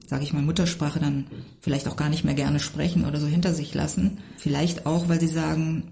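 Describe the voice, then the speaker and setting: A woman's voice: low voice, little pitch movement, a little fast, vocal fry, dark tone. A woman from Berlin in a casual podcast conversation, talking reflectively and at length, often tagging her thoughts with little questions. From Berlin, Germany. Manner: reflective, rambling, adds tag questions.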